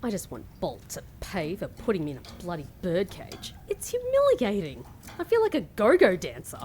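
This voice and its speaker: Lady doing a wry defiant young woman in a fantasy audio comedy, mid voice, really expressive, talks back. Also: mid-range voice, moderate pace, slight background noise. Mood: sarcastic, indignant. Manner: sardonic, wry, outspoken. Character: sarcastic defiant young woman held captive by fae.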